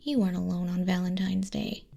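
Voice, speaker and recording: A woman playing a sardonic, bored demon clerk in a whispered ASMR roleplay, soft-spoken and condescending, close to a binaural microphone. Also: fairly low pitch, level tone, brisk, creaky. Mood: scolding, dry. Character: sardonic, bored female demon clerk registering sinners in Hell.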